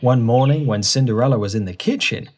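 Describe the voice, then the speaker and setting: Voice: mid-range voice, brisk, darkish tone. A narrator reading a scripted fairy tale for children in storybook style.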